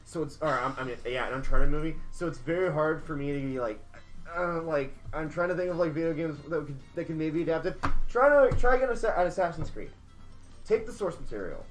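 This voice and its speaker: Halting, higher-voiced man over faint music. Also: animated. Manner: halting, repeats phrases as he works out ideas. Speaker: podcast co-host. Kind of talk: casual group chat about video games.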